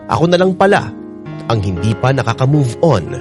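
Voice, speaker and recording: A man's voice: medium pitch, very animated, a little fast, over music, lightly acted. An actor with a Filipino accent playing a lovelorn young man, narrating his heartbreak as a dramatic radio confession. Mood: fearful, despairing.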